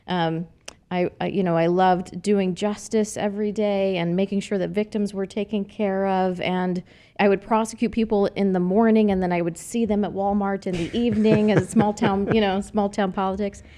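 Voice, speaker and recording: A woman's voice: mid-range voice, steady tone, normal speed, dark tone. A middle-aged woman with a slight Texas accent, a political candidate talking earnestly in a casual podcast conversation, with many fillers and restarts. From New Mexico, raised in Arizona.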